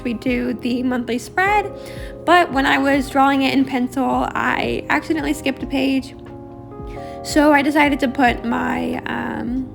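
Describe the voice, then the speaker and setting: A young woman with a high voice that turns gravelly and raspy, rambling casually through a journal walk-through with many ums. Clear music plays behind her. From Australia. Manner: rambling, chatty, many fillers. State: raspy, harsh throat. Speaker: bullet journal vlogger. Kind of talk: casual voice-over while drawing.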